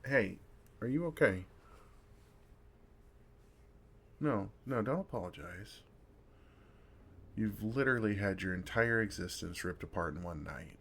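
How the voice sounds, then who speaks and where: A man playing a kind, wry older vampire who comforts a newcomer in an intimate, gentle ASMR roleplay, with dry humor and unhurried pauses. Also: lowish voice, lively intonation, creaky, slightly rough, full character voice. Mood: soothing, self-mocking.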